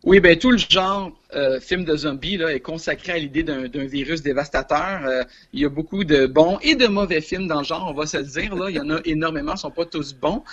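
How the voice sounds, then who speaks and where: A man's voice: a little high, brisk, few pauses, fairly bright tone. Quebec man, dull lo-fi line. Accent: Quebec French. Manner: hesitant, many filler sounds, chuckles. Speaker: film columnist. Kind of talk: casual radio chat.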